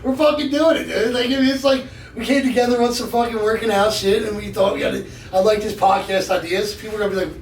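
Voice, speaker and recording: A man in his thirties with a raspy voice, chatting casually as a podcast guest in blunt, sweary slang. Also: sits high, steady tone, fast talker, few pauses. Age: 30s. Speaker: podcast guest, gym enthusiast. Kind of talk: casual chat. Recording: echoey, distant mic with noise.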